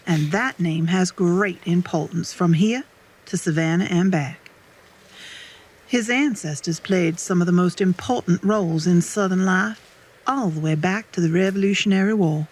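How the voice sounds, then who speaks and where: A woman's voice: lowish voice, measured pace, breathy edge, poor audio. A woman playing a devoted 1950s Southern wife, narrating reflectively. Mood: wistful, confiding. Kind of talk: theatrical monologue to an audience.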